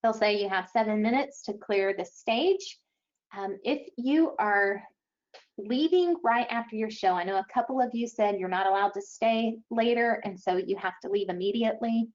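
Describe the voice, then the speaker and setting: A woman giving an explanatory webinar briefing as an event organizer, speaking haltingly with frequent pauses. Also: medium pitch, darkish tone. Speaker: event organizer presenting to schools. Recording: dull, lo-fi online webinar audio.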